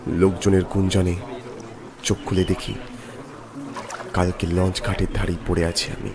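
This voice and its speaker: A man's voice: sits low, flat delivery, moderate pace, a crowd in the background. A man narrating a scripted horror story in a theatrical, emotive manner. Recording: loud music bed behind. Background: background music.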